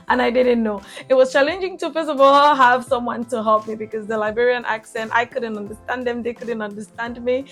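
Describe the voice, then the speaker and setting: Cameroonian woman vlogger, soft music behind. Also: fairly high pitch, fairly even intonation. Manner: chatty, pauses and restarts with fillers. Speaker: travel vlogger. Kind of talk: casual travel recap.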